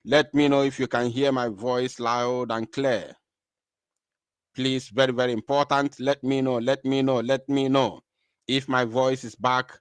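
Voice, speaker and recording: Male livestream host, impassioned and repetitive, mid pitch, dry mic. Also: flat delivery, unhurried, smooth, no echo. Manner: emphatic repetition, swings from quiet to loud.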